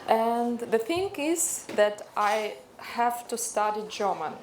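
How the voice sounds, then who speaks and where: Slow, echoey Ukrainian-accented woman lecturing. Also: on the high side, expressive. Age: middle-aged. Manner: halting, hesitant non-native phrasing with frequent searching for words. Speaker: dermatologist lecturer. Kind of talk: introductory medical lecture to an audience. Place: indoor lecture room. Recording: echoey room with faint background noise.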